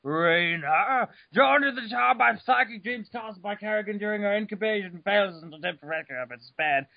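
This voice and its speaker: A man giving a mock-dramatic reading of an article, over the top, bursting into shouts and stumbling over words. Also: sits high, lively intonation, brisk, creaky, no room sound, dull audio. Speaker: reader.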